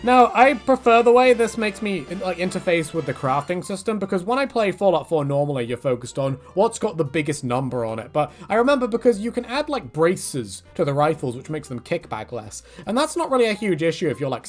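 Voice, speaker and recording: Man, casual Q&A, rambling, lively up-and-down delivery, somewhat high voice. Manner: rambling, full of "like" fillers and restarts. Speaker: YouTube creator answering viewer questions.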